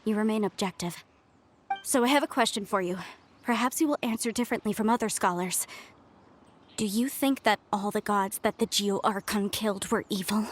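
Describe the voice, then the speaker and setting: A woman's voice: medium pitch, normal speed, slight background noise, natural voice. An actress playing an earnest young woman researching history in a video game, speaking politely.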